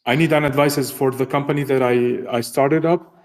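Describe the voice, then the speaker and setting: A man's voice: medium pitch, fairly even intonation, normal speed. A Palestinian Canadian man calling in to a live chat who asks politely and pleadingly, with broken grammar. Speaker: caller. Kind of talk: casual live audio chat.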